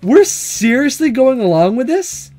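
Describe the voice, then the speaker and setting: An awkward, reluctant high school boy in a visual novel, played by a man. He protests in a flustered, raised voice with lively swings of pitch, and quiet music sits behind him. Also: measured pace, heavily acted. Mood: incredulous. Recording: music under the voice.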